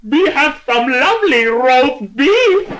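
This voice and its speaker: A man voicing a loud, old-fashioned male delicatessen owner in a storybook, speaking slowly and booming. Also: lively intonation, heavily acted. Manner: booming and slow.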